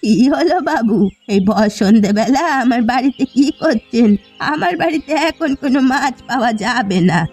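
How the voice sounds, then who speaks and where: A woman's voice: fairly high pitch, lively intonation, normal speed, few pauses, darkish tone, slightly rough, faint music behind. A woman voicing a stubborn, wary old fisherwoman in a cartoon village story, speaking firmly and defiantly. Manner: firm, defiant. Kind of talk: Bengali cartoon story voice acting.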